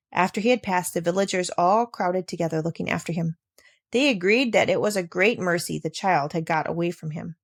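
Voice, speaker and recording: A woman's voice: lowish voice, gravelly, no echo. Woman reading a storybook, close dry sound. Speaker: audiobook reader. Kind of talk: expressive scripted storybook narration.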